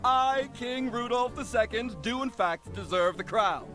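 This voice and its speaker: A man's voice: very high voice, unhurried, over music. An actor playing a pompous, boastful puppet king in a theatrical storybook show within a video game.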